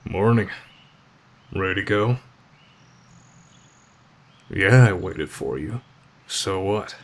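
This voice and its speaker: A man acting in an ASMR roleplay as a gruff, aloof teenage delinquent, deadpan and terse but protective of a friend. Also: deep voice, slow, exaggerated voice. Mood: gruffly caring.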